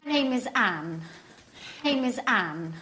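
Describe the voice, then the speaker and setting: Actress as a slow, swooping woman in course dialogue. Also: on the high side, lively intonation, bright, forceful tone. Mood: deliberate, instructional. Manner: deliberate, simple short sentences. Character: woman answering questions in language-course example dialogues. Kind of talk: acted textbook example dialogue.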